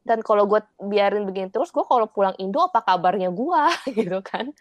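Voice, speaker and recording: A young Indonesian woman with talks in a loose, candid way. She laughs often and slips English words into her sentences. Her voice is mid-pitched and sits dry and close on the mic. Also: expressive, brisk, dry recording, dull audio. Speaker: guest. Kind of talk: casual podcast chat.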